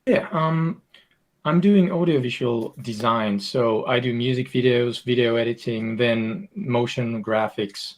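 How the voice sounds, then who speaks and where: A young man with a Finnish accent, a game designer answering interview questions modestly, a bit nervous and halting. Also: mid-range voice. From Finland.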